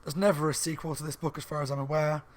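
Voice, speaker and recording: A slightly hoarse man reviewing a book in a rambling, casual chat at home, with lots of ums and restarts. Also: a little high, level tone, quick pace. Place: room at home by a window on a main street.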